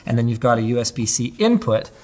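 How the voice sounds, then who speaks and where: A man hosting a casual product unboxing, excitable and sarcastic, with a Canadian accent. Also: mid-range voice, fast talker. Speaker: tech video host.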